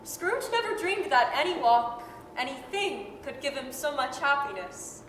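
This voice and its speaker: A woman's voice: high voice, sing-song, measured pace, fairly bright tone, exaggerated voice. A woman narrating a staged 1930s-style radio drama in a gleeful, theatrical storytelling manner. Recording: echoey hall, faint noise.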